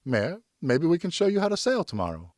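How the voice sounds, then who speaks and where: Man voicing a sweet, eager boy, raised pitch. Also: wildly swooping pitch, brisk, a little mellow, no echo, clean studio sound. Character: sweet, eager younger boy, son of the narrator.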